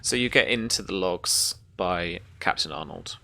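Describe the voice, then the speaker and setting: A man improvising tabletop game narration as game master, with halting, hesitant phrasing. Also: low voice, vocal fry, pushed and bright.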